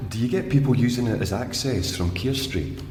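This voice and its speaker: An actor playing a polite young-ranking detective constable in a radio drama, patiently asking questions. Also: male voice, medium pitch, animated, brisk, faint music behind, close to an everyday voice. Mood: polite, businesslike. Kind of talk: naturalistic radio drama acting. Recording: echoey reverberant space, loud background noise.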